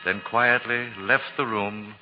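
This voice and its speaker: Low-voiced man narrating vintage radio drama. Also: fairly even intonation, slow pace. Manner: grand, poetic storyteller delivery. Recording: dull vintage radio recording, loud music.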